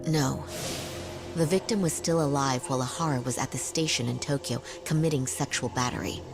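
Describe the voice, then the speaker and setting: Very low-voiced, composed attorney woman. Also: fairly quick. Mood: analytical. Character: serious, composed female defense attorney. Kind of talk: video game cutscene dub dialogue. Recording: game music under the voice. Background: music.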